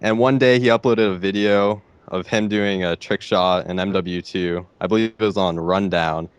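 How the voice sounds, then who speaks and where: A teenage boy with a deep voice, answering interview questions hesitantly and filling pauses with "like" and "I don't know.". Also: steady tone, smooth, no echo. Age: teens. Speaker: interviewee, gamer.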